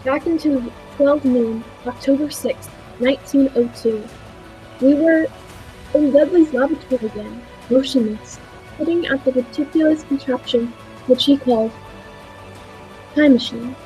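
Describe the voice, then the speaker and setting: A woman's voice: high-pitched, moderate pace, warm tone, soft background music, natural voice, poor audio. A woman playing a dazed time traveler who narrates in a 1940s-style radio play with dramatic, questioning delivery.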